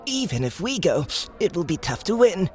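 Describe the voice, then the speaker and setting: Worried adventurer, played by a male narrator; high, urgent and argumentative. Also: very animated, moderate pace, soft background music.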